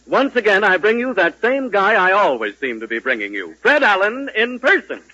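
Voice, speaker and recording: A man's voice: high-pitched, big pitch swings, normal speed, no room sound. A man working as a 1940s radio announcer and straight man, on an old broadcast recording. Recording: muffled old radio recording, loud music.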